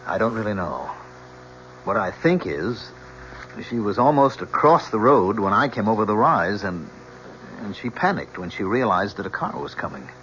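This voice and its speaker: Composed male witness, low pitch, measured and respectful, dull vintage recording. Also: very animated, a bit bright. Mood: composed, earnest. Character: polite, composed male driver testifying under oath. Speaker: actor in a radio drama. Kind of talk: old-time radio drama acting. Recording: muffled old radio recording, faint noise.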